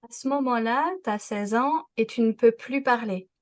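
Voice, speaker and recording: A woman podcast host interviewing a guest with gentle, reflective questions. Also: on the high side, moderate pace. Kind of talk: interviewing conversation.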